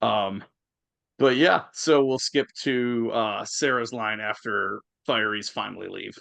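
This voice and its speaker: A man's voice: fairly low pitch, slow pace, vocal fry, fairly bright tone, no room sound. A man hosting a live reading, rambling and full of fillers as he explains what gets skipped. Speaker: host and narrator of a reading. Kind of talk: casual explaining between scenes. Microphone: video call.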